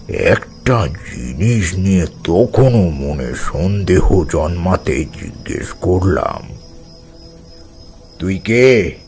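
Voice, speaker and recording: An actor playing a grave older tantric priest telling a horror story in a gravelly, solemn, suspenseful manner. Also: male voice, lowish voice, a little mellow, exaggerated voice. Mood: stern, defiant.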